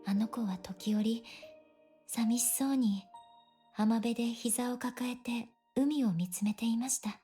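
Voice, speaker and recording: A woman playing a teasing, theatrical young woman with a tender side in a Japanese visual novel, playful and expressive. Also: a little high, moderate pace, husky. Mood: tender, wistful. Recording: game audio under loud music. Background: video game music.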